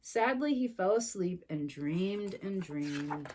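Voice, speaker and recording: A woman's voice: lowish voice, lively intonation, slow pace, background noise. A woman reading a storybook to young children expressively, adding sympathetic little asides.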